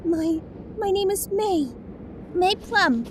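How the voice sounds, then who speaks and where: A woman's voice: extremely high pitch, lively intonation, takes it slowly, background noise, full character voice. A woman voicing a tiny, polite female flower fairy in an audio roleplay drama, dainty and prim. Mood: timid, grateful.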